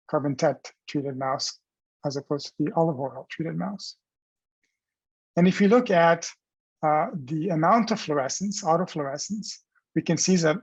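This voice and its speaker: A man presenting scientific research as explanatory narration over slides, pausing mid-phrase in a halting delivery. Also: fairly high pitch, slightly rough, no room sound, poor audio.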